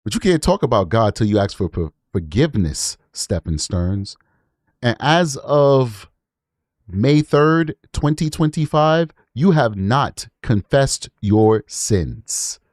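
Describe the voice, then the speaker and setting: A man's voice: medium pitch, animated, slow, a little mellow, no room sound, clean studio sound. A man hosting a true crime livestream, casually commenting on audio clips and repeating words for emphasis.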